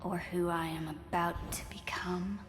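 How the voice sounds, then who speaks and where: An actress playing a sly, arrogant villainess in a game, with a haughty, menacing drawl. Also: female voice, lowish voice, level tone, a little slow, slight background noise, natural voice. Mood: mocking. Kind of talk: melodramatic game cutscene acting.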